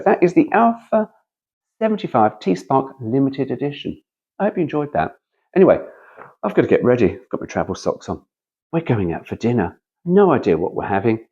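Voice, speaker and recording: A man's voice: mid-range voice, mellow, breathy edge, muffled recording. A man reading aloud casually as a host, breaking off for chatty, wry, digressive asides.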